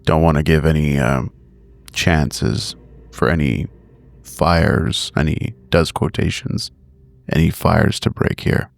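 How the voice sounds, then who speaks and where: Deep creaky male voice, casual in-character talk, tabletop game player. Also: steady tone, slow pace, dry recording. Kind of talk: casual in-character roleplay chat.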